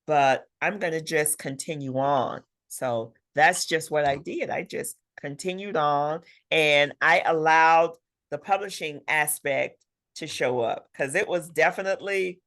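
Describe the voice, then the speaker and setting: A woman's voice: low voice, fairly bright tone. A middle-aged woman talking candidly as an interview guest, emphatic and self-assured, often quoting herself as she tells her story. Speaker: guest author.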